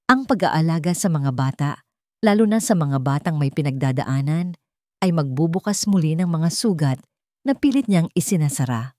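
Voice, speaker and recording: A woman's voice: low voice, expressive, moderate pace, a little mellow, no echo, clean studio sound. A woman narrator reading a scripted romantic drama in a storytelling style.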